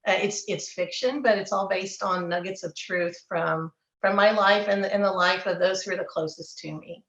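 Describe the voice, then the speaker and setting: A woman's voice: mid-range voice, normal speed, a bit bright, echoey room, lo-fi sound. A middle-aged woman from Texas, an author chatting in an interview and telling stories with repeated words. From Texas, USA. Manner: storytelling, repeats words, chuckles. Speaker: author guest. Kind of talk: casual interview chat.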